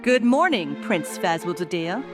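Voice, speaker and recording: A woman's voice: on the low side, big pitch swings, unhurried. A woman voicing a regal changeling queen who instructs formally, in a scripted fantasy story with music behind her. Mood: formal, instructing. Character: regal changeling queen, a mother and strict teacher. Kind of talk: scripted fan fiction character dialogue.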